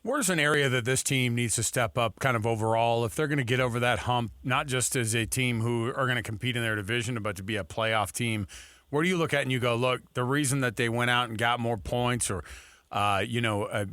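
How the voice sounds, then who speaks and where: A man's voice: mid-range voice, fairly quick, fairly bright tone, dry recording. Man hosting sports radio, dry studio sound. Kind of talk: casual sports talk interview.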